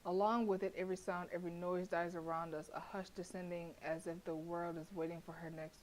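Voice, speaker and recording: A young woman streaming a horror visual novel, reading the narration aloud and breaking into blunt, profane, animated reactions with frequent laughter. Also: fairly low pitch, brisk, slight background noise, poor audio.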